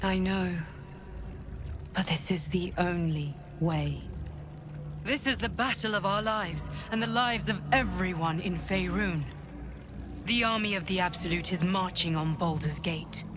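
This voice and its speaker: A woman playing a solemn, commanding guardian in a fantasy video game, speaking gravely and earnestly over music. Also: lowish voice, close to an everyday voice. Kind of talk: dramatic video game acting.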